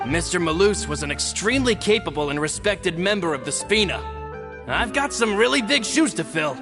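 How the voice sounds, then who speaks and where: A man's voice: high voice, big pitch swings, normal speed. An actor voicing a loyal, formal young male assistant in a game cutscene, speaking politely and respectfully. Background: game soundtrack music.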